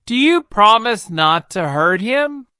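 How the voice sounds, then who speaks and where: A woman's voice: medium pitch, very animated, slow, bright, forceful tone, smooth, no echo, studio quality, voice pushed up, exaggerated voice. A woman voicing an earnest, anxious young boy from a storybook, urgent and pleading.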